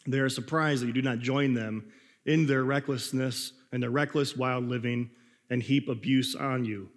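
Hoarse preacher man in an echoey hall. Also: medium pitch, steady tone, normal speed. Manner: conversational, rambling with restarts. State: hoarse, coughing. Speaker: pastor. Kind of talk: sermon.

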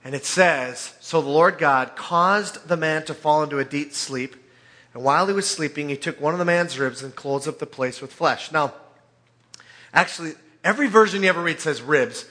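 A man preaching in an informal, conversational way, telling scripture like an animated storyteller with joking asides. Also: fairly high pitch, normal speed. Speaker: preacher or Bible teacher.

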